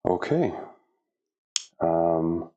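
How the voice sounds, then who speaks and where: Middle-aged dad-type man, low gravelly voice, chatty and self-talking, casual workout vlog. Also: lively intonation, extremely slow, mellow, highs cut off. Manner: chatty, self-talking, flustered by equipment trouble. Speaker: fitness vlogger.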